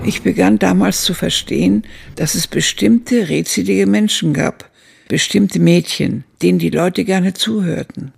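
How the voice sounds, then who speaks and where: An older woman acting as an elderly narrator who looks back on her youth in a radio drama, with a gravelly, husky voice and measured phrasing. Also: fairly low pitch, a little mellow, full character voice.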